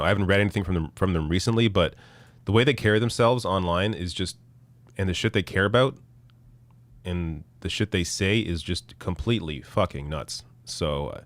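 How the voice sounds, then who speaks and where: Deep-voiced hoarse man, blunt livestream host. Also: brisk, dry recording. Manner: blunt, profane, frequent restarts and fillers. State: hoarse, losing his voice. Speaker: political show host reading viewer chat. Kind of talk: casual live-stream commentary.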